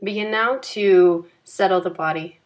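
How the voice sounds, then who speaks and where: A woman leading a guided yoga nidra meditation in a calm, gentle, soothing manner with unhurried phrasing. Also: mid-range voice.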